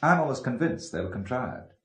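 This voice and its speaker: A man's voice: mid-range voice, big pitch swings, brisk, fairly bright tone. An actor playing a guarded, sardonic English businessman in a radio drama, with an English accent and a clipped, evasive politeness. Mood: wary, suspicious.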